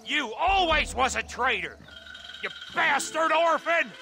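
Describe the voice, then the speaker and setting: A vengeful, taunting outlaw in a game cutscene. He drags out insults in an extremely high, harshly forceful voice with faint music behind. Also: animated, slow, creaky, full character voice. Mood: scornful, furious. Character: bitter, jeering outlaw out for revenge. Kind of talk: dramatic video game cutscene acting.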